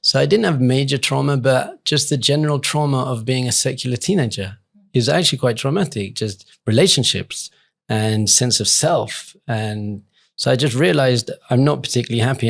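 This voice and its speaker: A man's voice: mid-range voice, fairly quick, creaky. A man speaking as an interview guest, reflective and earnest in an informal, conversational way. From England. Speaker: rabbi and podcast guest.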